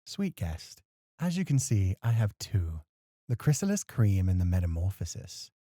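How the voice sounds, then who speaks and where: A man playing a courteous, gently teasing club host in a soft, flirty ASMR roleplay, suave and polite. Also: sits low, very animated, normal speed, no room sound, studio quality. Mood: polite, playful.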